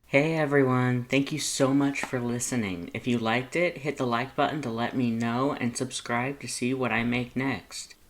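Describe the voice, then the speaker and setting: A narrator reading fan fiction aloud in an expressive storytelling style. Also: mid-range voice, moderate pace, vocal fry, few pauses.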